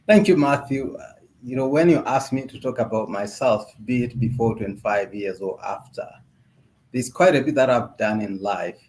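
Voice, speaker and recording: A middle-aged man from Tanzania, a podcast guest introducing himself in a measured way, with frequent fillers. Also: mid-range voice, a little mellow, low-quality recording.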